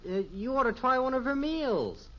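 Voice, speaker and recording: Eager, hungry US teenage son, very high voice, muffled vintage radio sitcom. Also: male voice, big pitch swings, moderate pace, a crowd in the background. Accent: American. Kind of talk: 1950s radio sitcom acting. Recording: noisy, muffled old radio recording.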